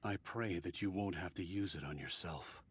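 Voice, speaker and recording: A man's voice: deep voice, moderate pace, husky. A man voicing an earnest, considerate supply convoy worker in an English-language video game. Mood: kind, solemn.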